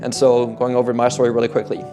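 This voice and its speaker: A young man in his twenties talks casually and earnestly about goals, his sentences loose and padded with "like" and "kinda". His voice sits at an ordinary middle pitch for a man. Clear guitar music plays behind him throughout. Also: completely flat delivery, fast. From Netherlands. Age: 20s. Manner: rambling, heavy use of "like" and "kinda". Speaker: fitness coach. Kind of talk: casual motivational talk.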